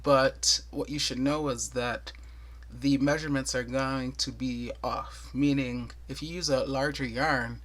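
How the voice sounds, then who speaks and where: Tutorial host, male, soothing voice, mid pitch, patient and chatty, counts stitches aloud. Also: fairly even intonation, measured pace, fairly bright tone. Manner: thorough, chatty, with light laughs and counting aloud. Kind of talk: casual step-by-step tutorial.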